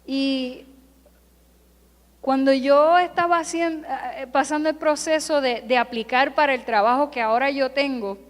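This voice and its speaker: A woman who grew up in California delivers a Sunday sermon from the pulpit. Her voice sits high, and she takes her time, stacking emphatic parallel phrases one after another. The sound carries a long reverb from the church sanctuary. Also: fairly even intonation, measured pace. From California, United States. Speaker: preacher.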